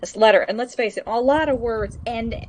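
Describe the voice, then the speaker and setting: A woman's voice: a little high, fairly quick, darkish tone, slight background noise. Woman explaining a word game on a call. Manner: explains game rules patiently, defends her version. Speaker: stream co-host. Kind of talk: casual group chat on a voice call. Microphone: online voice chat mic.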